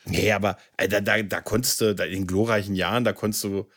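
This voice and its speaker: A man's voice: on the low side, fairly even intonation, fast, a bit bright. A man in a casual chat, telling anecdotes colloquially and often tagging his sentences with little checking questions. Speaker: podcast co-host.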